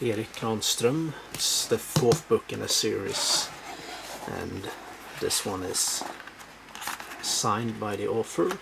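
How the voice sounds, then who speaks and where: Slow, gravelly Swedish-accented man. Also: lowish voice, noisy background. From Sweden. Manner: halting, with many fillers and self-corrections. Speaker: book collector showing his shelves. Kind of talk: casual home video walkthrough. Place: home room.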